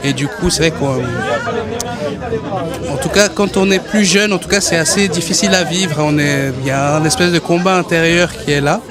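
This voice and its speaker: A man's voice: on the high side, moderate pace, over a noisy crowd. A man, a guest at a public event, thoughtfully sharing his own experience with many fillers and restarts. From Switzerland. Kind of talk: casual personal testimony.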